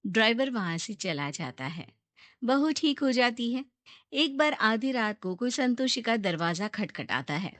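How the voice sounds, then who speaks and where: A woman's voice: on the high side, big pitch swings, unhurried, a bit bright, no room sound. A woman reading a scripted moral story as its narrator.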